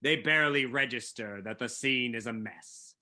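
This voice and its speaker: A man's voice: mid-range voice, moderate pace, sharp tone. A man reading scripted narration for a staged play reading.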